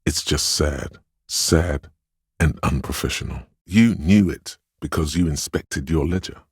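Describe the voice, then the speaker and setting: Game narrator: a man's empathetic inner voice, bass-deep and heavily husky, perceptive, tender, literary and unhurried in phrasing. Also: dry recording, clean studio sound, full character voice. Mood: dry, observant.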